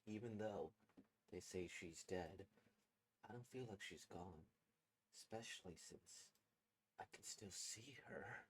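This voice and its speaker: Haunted student narrator for a horror manga, played by a man, slightly low, hushed and anxious. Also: slow, long pauses, noisy background. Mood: brooding, uneasy. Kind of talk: dramatic horror manga reading.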